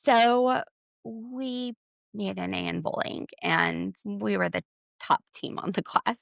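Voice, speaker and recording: A woman hosting a podcast alone, recapping a reality show in a chatty, sarcastic way with jokey asides and wide swings in pitch and pace. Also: slow pace, gravelly, a bit bright, no room sound. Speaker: podcast host. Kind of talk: solo recap commentary.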